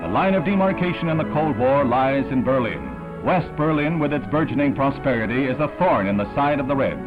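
Dramatic old newsreel announcer, man. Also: on the high side. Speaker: newsreel narrator. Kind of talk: 1960s newsreel narration. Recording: tinny archival newsreel audio with music.

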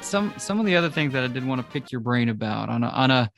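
A man's voice: mid-range voice, animated, quick pace, creaky, a bit bright, faint music behind. A man hosting a conversational interview podcast, phrasing his questions in a hesitant, roundabout way. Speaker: podcast host interviewing a guest.

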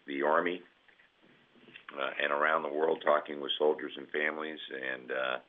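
A man with a cold, sounding scratchy and nasal, speaking as a guest in measured remarks with many pauses. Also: rumbling low. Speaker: senior army enlisted leader, guest. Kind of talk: conference call remarks. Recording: tinny phone line.

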